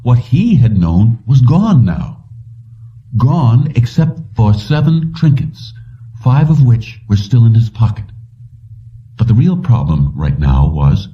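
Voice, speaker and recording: A man reading a science fiction story aloud as scripted audiobook narration. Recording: distant, dull low-fidelity audio.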